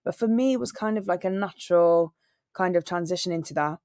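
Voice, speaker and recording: This young woman talks in a low, deep register with a dry, close sound. She tells stories in a relaxed way and acts out other people's lines. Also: fairly quick, no room sound. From Wales. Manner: animated storyteller, acts out quoted people. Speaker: singer and radio presenter, interview guest. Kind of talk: relaxed interview conversation.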